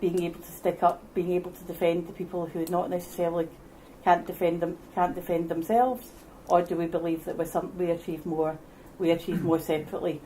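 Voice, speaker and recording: A woman speaking at a public debate, hesitant and halting, often restarting her sentences. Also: fairly low pitch, flat delivery, mellow, slight background noise, low-quality recording. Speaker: debate speaker against independence.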